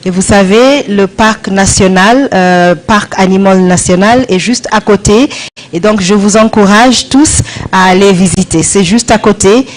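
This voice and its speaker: A woman's voice: mid-range voice, moderate pace. A woman with a mid-range voice interprets a speech live. She goes phrase by phrase, hesitating as she looks for the right words. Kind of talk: spoken consecutive interpreting of a speech. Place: live event beside the speaker.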